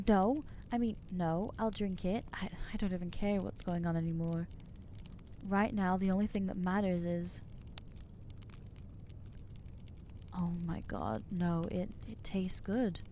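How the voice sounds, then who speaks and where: A woman voicing an anxious, guilt-ridden new vampire in an ASMR roleplay, halting and self-doubting, with wry exasperation. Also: on the low side, fairly even intonation, dark tone, a little hiss or hum, natural voice. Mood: craving, disgusted. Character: anxious newly turned female vampire, guilty and overwhelmed. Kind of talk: ASMR roleplay acting to the listener.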